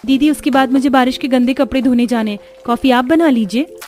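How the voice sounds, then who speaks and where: A woman voicing a gentle, dutiful young daughter-in-law in a cartoon story, earnest and pleading. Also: high-pitched, fairly quick, lightly acted. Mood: meek. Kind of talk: Hindi cartoon story dialogue.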